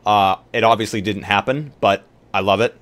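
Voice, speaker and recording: A man's voice: lowish voice, fairly even intonation, normal speed, a bit bright. A man chatting casually with stream viewers and a co-host in an easygoing, informal, joking way. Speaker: live-stream roleplay player.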